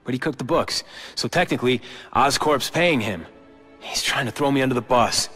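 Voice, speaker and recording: A man's voice: mid-range voice, normal speed, a bit bright, breathy edge. A man with an American accent voicing an embittered, brooding young corporate heir in a video game cutscene. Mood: wary. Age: young adult. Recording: long hall-like reverb. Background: background music.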